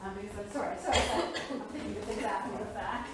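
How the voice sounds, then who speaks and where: A woman's voice: mid-range voice, fast. A woman chairing a panel event, speaking informally and effusively, often hesitating and interrupting herself. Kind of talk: informal spoken thanks and event announcements. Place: indoor event room. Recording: very echoey room with faint noise.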